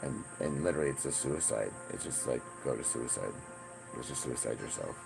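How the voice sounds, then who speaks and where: A man's voice: rumbling low, brisk, gravelly, music in the background. A man in his forties talking in a rambling, profane monologue, often repeating himself. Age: 40s. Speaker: self-styled spiritual teacher.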